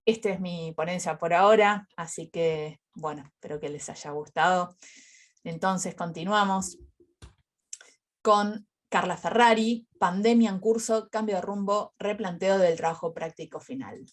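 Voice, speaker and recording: A woman with a slightly low voice speaks as a teacher moderating a conference. Her delivery is that of an informal academic presentation. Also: unhurried. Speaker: university teacher and session moderator.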